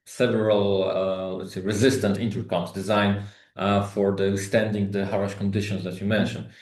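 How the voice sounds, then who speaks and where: Low-voiced man explaining in an echoey room. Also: little pitch movement, darkish tone. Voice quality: halting, hedging delivery with frequent "let's say". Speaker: company product expert answering questions. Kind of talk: webinar technical explanation. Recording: roomy, echoing room sound.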